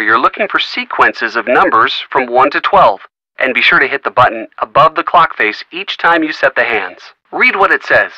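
Chummy hint guy in a mystery game, super bright tone, dry close mic. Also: mid-range voice, no echo, low-quality recording. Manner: breezy, instructive, chummy. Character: friendly male hint-line helper, upbeat. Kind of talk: studio video game voice acting.

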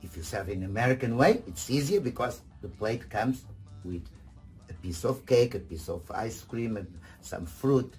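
A middle-aged man giving etiquette advice in a husky voice, theatrical and emphatic, often repeating phrases. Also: mid-range voice, lively intonation, moderate pace, a little mellow, faint music behind. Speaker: etiquette host. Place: furnished sitting room indoors.

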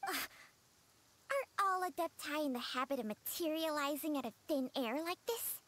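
Haughty serpent spirit, dry wit, high and animated. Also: female voice, moderate pace, a little hiss or hum. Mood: sardonic. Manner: haughty, sardonic.

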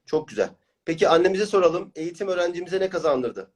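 A man's voice: sits high, expressive, fast talker. A man working as a reading coach and host, interviewing clients and explaining his course in an encouraging manner. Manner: encouraging, praising.

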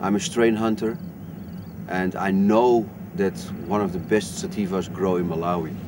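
A man's voice: low voice, fairly even intonation, moderate pace, very noisy. A middle-aged man who grew up in Africa, leading an expedition and speaking in plain, slightly broken English. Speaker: expedition leader. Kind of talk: documentary interview and field talk.

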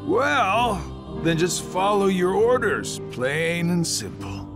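Casting: a smug, slippery middle-aged game villain, highish voice that swings widely, sarcastic drawl. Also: male voice, sing-song, takes it slowly, vocal fry, over music, exaggerated voice. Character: sly, sardonic middle-aged male villain, cocky and evasive. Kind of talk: cinematic video game voice acting.

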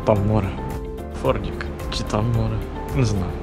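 A man's voice: on the low side, steady tone, normal speed. A man narrating his own travel vlog in a casual voice-over, with wry, joking asides. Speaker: travel vlogger. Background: music.

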